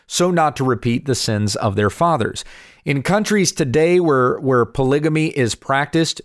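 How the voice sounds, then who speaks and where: A man in his forties, slightly hoarse from allergies, earnestly explaining Bible passages and answering viewer questions. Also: mid-range voice, dry recording, clean studio sound. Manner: earnest, explanatory, reads scripture aloud. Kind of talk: casual Bible teaching video. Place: home in Kansas.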